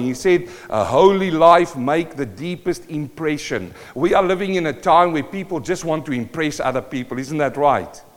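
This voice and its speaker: A South African-born man preaching a sermon, emphatic and full of rhetorical questions to the congregation. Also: on the high side. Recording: long hall reverb.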